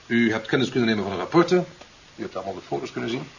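A stern, resolute president in a seventies radio drama. His delivery is solemn and commanding, like formal oratory, at a medium pitch. The sound is dull, with light hiss. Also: expressive, racing, creaky. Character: stern, resolute male president addressing officials. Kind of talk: 1970s Dutch radio play acting. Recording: dull, hissy 1970s radio recording.

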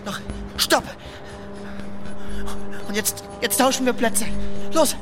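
A man's voice: very high voice, slow pace, music in the background. A young man playing an agitated, aggressive teenage boy who barks orders in a radio play. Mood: agitated, threatening. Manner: barking, commanding, aggressive. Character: agitated teenage boy holding others at gunpoint. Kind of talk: German radio play acting.

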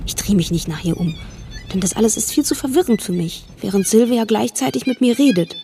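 An actress playing an observant, puzzled girl who narrates what she sees in a radio drama, in a hushed young voice. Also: on the high side, lively intonation, a little fast, soft background music. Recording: vehicle noise behind. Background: car ambience.

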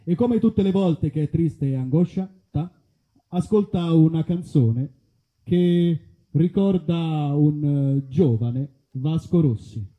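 A slightly muffled live stage recording of a man narrating a comedy. His voice is a little high, and he delivers florid lines with mock solemnity. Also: slow.

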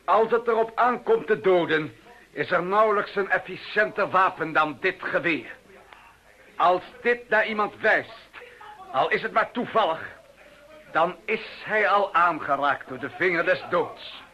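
An actor playing a formal, deliberate arms salesman with quiet deadly intent in a radio play. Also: sits high. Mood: grave, menacing. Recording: dull old radio recording.

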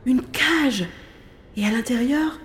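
A woman's voice: high-pitched, slow. A woman playing a determined, tender young searcher in a French audio drama adapted from a youth novel. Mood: tense, observing. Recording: roomy echo with music underneath.

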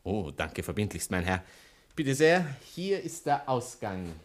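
An actor playing an obsequious, chatty barber who flatters his customer with servile, flowery politeness in a radio play. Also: fairly low pitch, a little slow. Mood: obliging.

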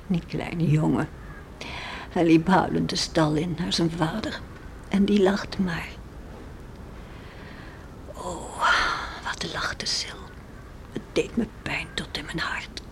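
An actress playing a devout, worried farmer's wife and mother in a radio play, earnestly musing aloud to herself. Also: medium pitch. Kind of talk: Dutch radio play acting, monologue and dialogue. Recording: faintly noisy radio play recording.

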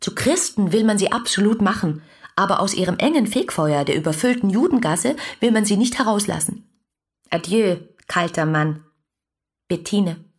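An actress playing an ardent, dreamy young letter writer, breathy and confiding, in a radio play. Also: female voice, medium pitch, expressive, moderate pace. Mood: earnest, defiant. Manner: musing, confiding, intimate.

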